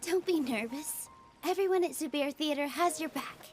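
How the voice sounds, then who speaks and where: A woman voicing a gentle, encouraging young dancer in a video game, speaking sweetly and sincerely. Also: sits high, moderate pace, natural voice. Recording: music faintly behind.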